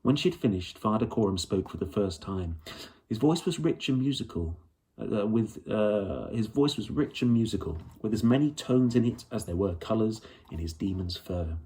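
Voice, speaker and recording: A man's voice: on the low side, animated, normal speed, warm tone. A man reading a children's novel aloud as its narrator. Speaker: audiobook reader.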